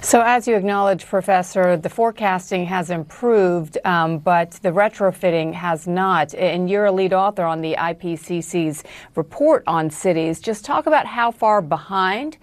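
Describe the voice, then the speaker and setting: Woman, news presenter, lowish pitch, dry studio-like sound, formal interview questioning. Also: moderate pace, no room sound.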